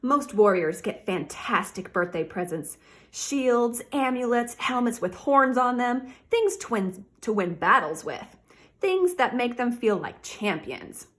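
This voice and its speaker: A woman teacher doing a read-aloud for children, talking directly to her young listeners in an animated way. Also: on the high side, takes it slowly.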